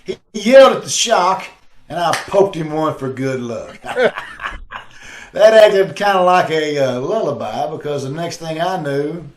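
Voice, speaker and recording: An actor playing a cocky, quick-witted amateur sleuth in a wisecracking 1940s radio detective style. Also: male voice, fairly high pitch, animated. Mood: smug, wisecracking. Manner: wisecracking, slangy. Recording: roomy, echoing video-call audio.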